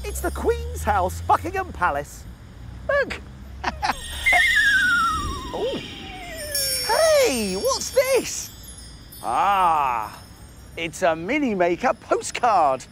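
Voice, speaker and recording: A man, presenting crafts for young children in an excitable, exaggerated and clearly enunciated way. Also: very high voice, extremely slow, long pauses. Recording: music bed behind the voice. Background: children's show music.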